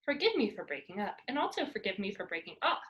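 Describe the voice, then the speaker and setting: A woman's voice: mid-range voice, very animated, fast, a bit bright, highs cut off. A woman voicing a bold, exuberant, mischievous young girl from a children's storybook, playful and cheeky. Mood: breezy, cheeky.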